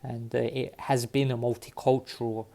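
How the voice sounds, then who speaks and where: Man, from Cyprus, monotone, halting, casual talk. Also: mid-range voice, gravelly. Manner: halting, many fillers and restarts. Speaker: vlogger sharing DNA results. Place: London.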